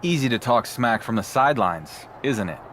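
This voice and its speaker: An actor playing a cocky, stubborn wrestling champion in a video game cutscene, with a dry, defiant manner. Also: male voice, mid-range voice, animated, moderate pace, a bit bright, slight background noise, natural voice.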